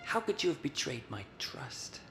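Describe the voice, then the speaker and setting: An actor playing a tormented, guilt-ridden priest, pleading and lovesick, in dramatic film style. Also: male voice, medium pitch, sing-song, normal speed, creaky. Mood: anguished pleading. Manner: pleading, anguished. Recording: echoey hall reverb, music behind.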